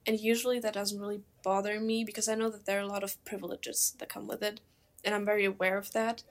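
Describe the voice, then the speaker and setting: A young woman with a German accent leading a casual conversation in an earnest, measured way. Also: mid-range voice, little pitch movement. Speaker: discussion moderator.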